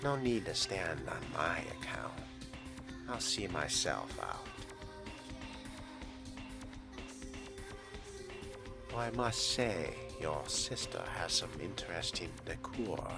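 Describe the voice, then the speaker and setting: A man puts on a sly, courtly male vampire for game role-play. The voice is low and gravelly, a theatrical drawl full of mock politeness. Loud music plays underneath. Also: sing-song, a little slow, exaggerated voice. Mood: dry courtesy.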